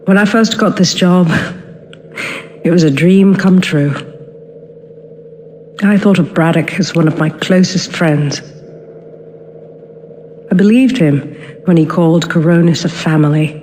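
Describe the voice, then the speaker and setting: A brooding outsider, played by a man, speaks a solemn, wounded game monologue in a fairly high voice. Long reverb trails his halting phrases. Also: a little mellow, lightly acted. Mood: bitter, brooding. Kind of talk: reflective dramatic monologue in a video game. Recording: long cavernous reverb, faint ambient noise.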